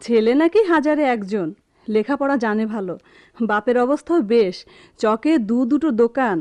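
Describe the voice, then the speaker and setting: A woman playing a calm, practical middle-aged wife and mother in a radio drama, gentle and measured. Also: fairly high pitch, no room sound, natural voice. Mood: calm, hopeful. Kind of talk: Bengali radio drama acting.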